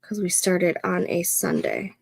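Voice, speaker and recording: A woman in her thirties hosting a casual co-working stream, chatting slowly and a little nasally as she works through her planner. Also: lowish voice, huge pitch swings, vocal fry, breathy edge. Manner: slow, chatty, talks to herself while setting up a planner. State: congested, a bit nasal. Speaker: co-working livestream host. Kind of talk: casual chat while working.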